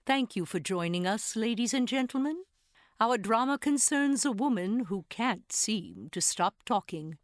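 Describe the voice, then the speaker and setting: A woman's voice: a little high, lively intonation, unhurried. A woman acting as host and announcer of an audio drama, delivering a scripted, formal theatrical introduction.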